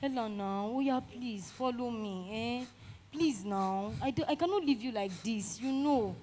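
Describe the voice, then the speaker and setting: An actress plays an anxious, caring young Nigerian woman in a drama skit. Her voice sits fairly high, and she pleads in repeated, exclamatory bursts as a consoling friend. The recording has clear background noise. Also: normal speed, mellow, close to an everyday voice. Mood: pleading, coaxing. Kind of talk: emotional dialogue acting with some Pidgin.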